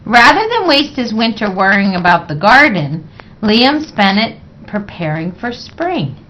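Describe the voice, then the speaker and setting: A woman reading a children's picture book aloud in a measured, expressive way. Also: medium pitch. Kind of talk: read-aloud children's story narration. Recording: dull, lo-fi sound.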